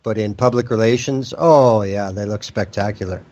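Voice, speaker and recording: A man's voice: on the low side, moderate pace, mellow, muffled recording. A man on a political talk show who makes dry, wry remarks. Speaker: podcast co-host. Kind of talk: casual political discussion.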